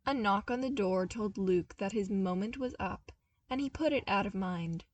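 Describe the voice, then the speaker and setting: A woman reading a story aloud in an expressive, scripted narration style. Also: mid-range voice, normal speed, recorded from a distance. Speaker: podfic narrator reading fan fiction.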